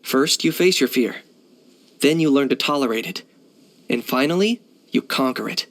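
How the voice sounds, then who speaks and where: A man's voice: medium pitch, animated, normal speed, a bit bright, lightly acted. A man playing a cool, pragmatic young hunter and mercenary in a video game, composed and matter-of-fact with a dry delivery. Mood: reflective, composed. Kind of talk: video game character acting.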